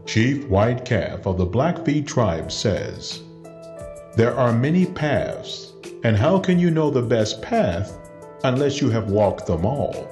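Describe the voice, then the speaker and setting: A man's voice: medium pitch, very animated, slow, a little mellow. A man giving a theatrical scripted narration with weighty phrasing. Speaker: narrator introducing a storytelling program. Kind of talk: dramatic scripted narration with a quotation. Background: music.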